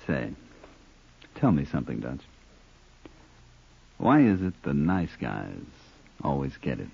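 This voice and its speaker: An American actor playing a cool, cultured hired killer in an old radio crime drama, unhurried, musing and dryly polite. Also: male voice, rumbling low, very animated, gravelly, breathy edge, full character voice. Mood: calm, politely menacing. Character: cool, cultured hired killer who loves classical music. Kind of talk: 1940s-50s radio crime drama acting. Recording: dull old-time radio recording.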